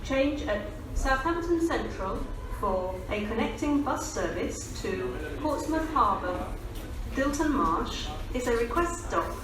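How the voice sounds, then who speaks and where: Distant English woman announcer over station PA. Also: a little high. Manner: formal, measured, pieced-together delivery from recorded phrases. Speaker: automated railway station announcer. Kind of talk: scripted public address announcements. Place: railway station platform, heard over public address. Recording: distant station PA speakers, clear background noise.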